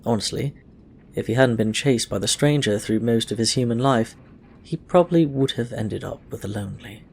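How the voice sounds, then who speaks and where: A man's voice: medium pitch, moderate pace, vocal fry, slight background noise. A man reading fiction aloud as a scripted narration. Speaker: audio fiction reader. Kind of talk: scripted story narration with acted dialogue.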